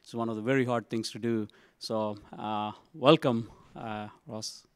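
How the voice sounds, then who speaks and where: A man moderating a business panel at a conference, introducing the speakers to the audience in a relaxed, halting way with many fillers. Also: on the low side, no echo, clean studio sound. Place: conference session room.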